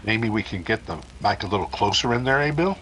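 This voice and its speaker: A man playing a rattled radio announcer in a 1930s-style mystery drama, formal but urgent, with a husky voice. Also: lowish voice, lively intonation, a little fast, fairly bright tone, a little hiss or hum. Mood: puzzled. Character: rattled male radio announcer keeping the broadcast going.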